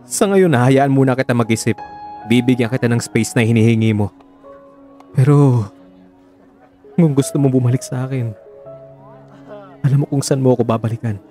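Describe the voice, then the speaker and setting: A man's voice: mid-range voice, normal speed, pauses often, warm tone, faint music behind, natural voice. A man playing a heartbroken, insistent young lover in an emotional radio drama, pleading for the truth. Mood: hurt. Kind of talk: Tagalog radio drama acting.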